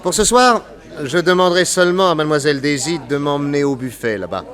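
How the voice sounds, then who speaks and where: A man's voice: a little high, fast, fairly bright tone, a faint crowd, natural voice. A man playing a suave, confident, commanding detective in a French radio crime drama. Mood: genial, gallant.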